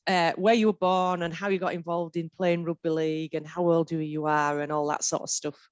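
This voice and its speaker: A woman's voice: low voice, level tone, brisk, clean tone, dry recording, muffled recording. A woman hosting an interview, enthusiastic and encouraging with her guest. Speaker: interviewer, host. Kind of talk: casual interview.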